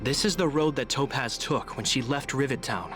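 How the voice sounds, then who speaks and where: An actor playing a disciplined, courteous guard captain in a video game, speaking seriously and in good order. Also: male voice, medium pitch, moderate pace, over music, close to an everyday voice. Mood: earnest. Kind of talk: scripted video game dialogue.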